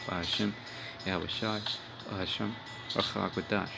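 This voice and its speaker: Deep gravelly man preaching over music. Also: steady tone, a little slow. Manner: rambling, repeats "man" and "all right"; profane, blunt. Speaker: street preacher. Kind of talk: informal religious video sermon. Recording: music playing behind.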